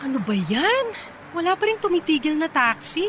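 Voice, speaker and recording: An actress playing a proud, easily irritated but bashful young office boss in a Filipino radio drama, with a Filipino accent. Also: female voice, very high voice, sing-song, moderate pace, noisy background. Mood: exasperated. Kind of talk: radio drama acting in Taglish.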